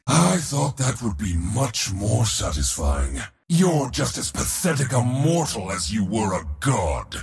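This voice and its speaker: Imperious war god, video game villainy, wildly swooping pitch, gravelly edge, boastful taunts, merciless temper. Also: male voice, heavily acted. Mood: cold menace. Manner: imperious, taunting, theatrical declamation. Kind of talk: video game character voice lines.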